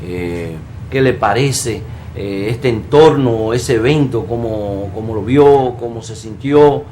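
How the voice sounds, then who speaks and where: A man's voice: medium pitch, takes it slowly, warm tone, slight background noise. A man hosting a studio TV interview, introducing his guest effusively and leaning on "eh" fillers. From Dominican Republic. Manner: effusive, filler-heavy, fond of "eh". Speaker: TV talk show host. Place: local TV studio.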